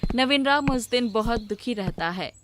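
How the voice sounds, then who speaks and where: A woman's voice: on the high side, expressive, a little slow, noisy background. A woman reading scripted storybook narration as the storyteller.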